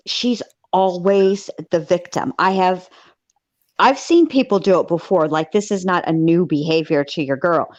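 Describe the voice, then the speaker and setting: A woman with a slightly low voice, recorded close and dry without room sound. She comments as a co-host, casual but emphatic and analytical. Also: no room sound. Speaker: co-host of a true crime recap show.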